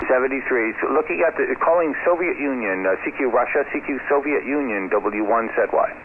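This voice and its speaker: A man working as a ham radio operator, calling out with call signs and spelling letters phonetically over the radio at a measured, repetitive pace. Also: medium pitch, animated. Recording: noisy, tinny shortwave radio.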